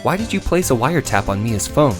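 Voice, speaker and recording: Man acting a wry, lively-voiced young lawyer. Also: mid-range voice, fairly quick, music in the background, close to an everyday voice. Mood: probing. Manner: hesitant, sardonic asides. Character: exasperated, polite, wry young male defence lawyer. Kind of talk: dramatized video game dialogue.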